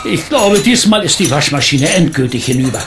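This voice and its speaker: An actor playing an easygoing, good-natured middle-aged uncle in a radio play. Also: male voice, on the high side, very animated, fairly quick, loud music behind the voice. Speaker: uncle Titus.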